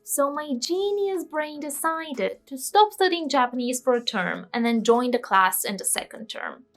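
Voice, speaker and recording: A woman's voice: high-pitched, normal speed, faint music behind. A woman in her twenties from Bulgaria, a native Bulgarian speaker, narrating a scripted vlog in a candid, self-deprecating way. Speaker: language-learning vlogger.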